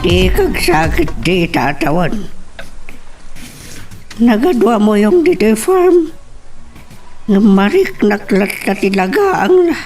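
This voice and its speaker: A man's voice: high-pitched, very animated, slow, pauses often, a little mellow, recorded from a distance, faint music behind, heavily acted, low-quality recording. A man playing a weary, grumbling farm figure talking to himself in an audio drama.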